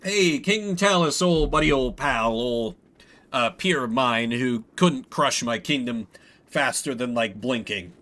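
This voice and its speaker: A man's voice: medium pitch, a little slow, pushed and bright. A man doing casual game commentary, jokey and thinking aloud as he plays. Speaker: game streamer. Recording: game music behind the voice.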